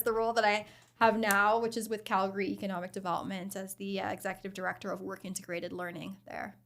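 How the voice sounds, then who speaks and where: Middle-aged woman, interview guest, warm-natured and measured, quick to laugh. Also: medium pitch. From Bahamas. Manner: friendly, laughs easily, measured. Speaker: guest, program director. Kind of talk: interview answers.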